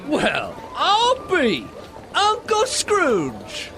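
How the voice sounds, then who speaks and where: A man's voice: extremely high pitch, wildly swooping pitch, extremely slow, noisy background, pitched above the performer's own voice, full character voice. A man voicing a gracious, delighted nephew in an audio drama, effusive and polite. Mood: astonished, welcoming. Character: gracious, delighted adult nephew welcoming his uncle.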